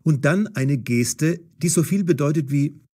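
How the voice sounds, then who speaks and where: A man narrating a scripted audio story for language learners in a deliberate, storytelling way. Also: on the high side, dark tone, breathy edge.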